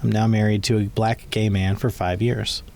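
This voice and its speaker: A man in his forties with a fairly low voice. He speaks as a participant in a personal recorded conversation. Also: flat delivery, moderate pace, gravelly, a little mellow. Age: 40s. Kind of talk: personal recorded conversation, partly read aloud.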